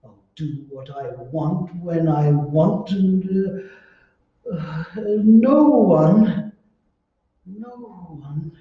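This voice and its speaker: A man playing a nervous, resentful henpecked husband in old-time radio horror style, with halting, repetitive phrasing. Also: sits high. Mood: bitter, defiant. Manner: halting, repeating words. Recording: muffled, echoey hall, far from mic.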